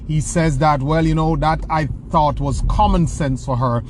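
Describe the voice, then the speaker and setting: A man's voice: fairly high pitch, normal speed, a little hiss or hum. A man with a Jamaican accent from the rural countryside, giving a motivational talk and telling stories, with frequent restarts and repeated words. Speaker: motivational speaker. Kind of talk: motivational talk answering a question.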